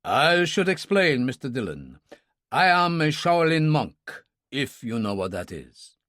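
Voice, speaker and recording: A man voicing a calm older male Chinese Shaolin monk and kung fu master who speaks in a slow, measured, formal way. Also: mid-range voice, no echo, clean studio sound.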